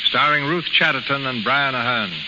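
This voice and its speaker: A man's voice: medium pitch, normal speed, heavy background noise. A man hosting and narrating a scripted 1930s radio programme in a polished, formal announcing manner. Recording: muffled 1930s radio recording, loud music.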